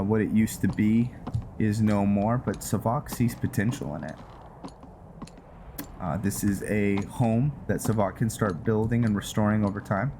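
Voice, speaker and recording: A man giving casual gameplay commentary mixed with story narration, halting and thinking aloud as he goes. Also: fairly low pitch, background noise. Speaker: host of a gaming roleplay series. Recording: music behind the voice. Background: music.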